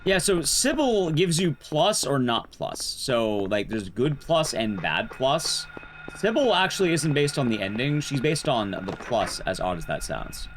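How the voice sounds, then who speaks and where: Male streamer, chatty speedrun commentary, very lively pitch swings, clear background noise and clicks. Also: a little slow. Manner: rambling, chatty, jokey asides. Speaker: streamer speedrunning a horror game. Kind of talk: casual live game commentary.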